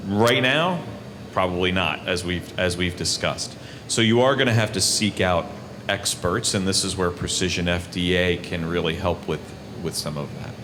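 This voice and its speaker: A man's voice: low voice, normal speed. A man on a conference panel explaining into a handheld mic, checking in with "right?". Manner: explanatory, tag questions like "right?". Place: conference hall stage. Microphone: handheld microphone. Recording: noisy conference hall.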